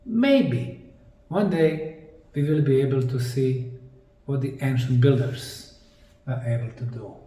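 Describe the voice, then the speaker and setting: A man with a Bosnian accent giving an explanatory presentation, speaking emphatically in short, dramatic phrases with pauses. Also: mid-range voice, warm tone. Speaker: presenter, researcher. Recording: echoey room, distant microphone.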